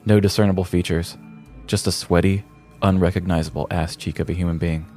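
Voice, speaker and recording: An American man reading a scripted comedic story in a deliberate, theatrical storyteller's manner. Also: low voice, fairly even intonation, darkish tone, faint music behind. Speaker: podcast host telling a story.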